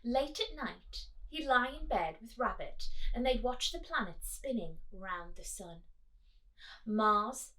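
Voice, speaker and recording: A woman reading a picture book aloud to children, expressive and speaking directly to her young listeners with chatty asides. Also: a little high, distant mic, poor audio.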